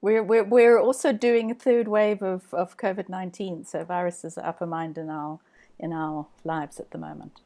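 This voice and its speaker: A woman who grew up in Zambia and Zimbabwe, a scientist answering interview questions in a relaxed way, often repeating words as she thinks. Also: fairly low pitch, moderate pace. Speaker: guest scientist.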